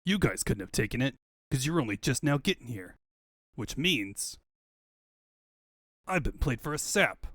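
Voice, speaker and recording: A man voicing a gruff, suspicious young echidna in a comic fan dub, talking like an old-time wiseguy. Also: medium pitch, very animated, measured pace, pauses often, fairly bright tone, dry recording, studio quality. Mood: indignant.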